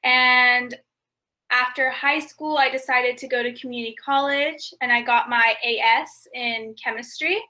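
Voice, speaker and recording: A woman's voice: fairly high pitch, fairly even intonation, measured pace, pushed and bright. A woman, a Farsi speaker from California, talking casually as a student assistant on a video call. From California, United States. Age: 20s. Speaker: university student assisting in class. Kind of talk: casual self-introduction and brief replies.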